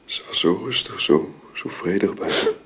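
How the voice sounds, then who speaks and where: An actor in a Dutch radio drama playing a gentle husband and father, speaking tenderly and haltingly in a hushed way. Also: sits low, huge pitch swings, husky, full character voice. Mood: grieving, exhausted. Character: grieving gentle husband and father at his wife's deathbed.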